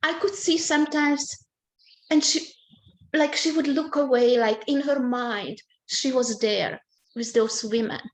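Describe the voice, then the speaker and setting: An older woman, speaking in a slightly high voice. She talks gently and haltingly, often restarting her sentences as she searches for the words. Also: low-quality recording. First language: Hungarian. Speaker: author being interviewed. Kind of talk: personal recollection in a book talk.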